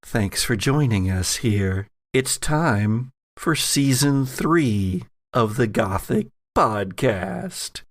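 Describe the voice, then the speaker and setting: A man hosting and narrating an actual play audio drama as game master, with theatrical, drawn-out emphasis. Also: medium pitch, expressive, no echo, clean studio sound. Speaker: podcast host and game master.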